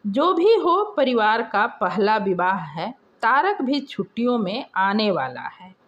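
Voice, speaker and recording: Woman acting a middle-aged storybook mother, indulgent, coaxing, matter-of-fact, slow, expressive pitch. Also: muffled recording, slight background noise. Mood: resigned.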